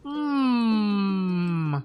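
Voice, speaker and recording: A man's voice: sits high, animated, very slow, darkish tone. Wry young man muttering over gameplay. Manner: muttering to himself, wry and puzzled. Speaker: streamer. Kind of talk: casual gameplay commentary. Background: game music.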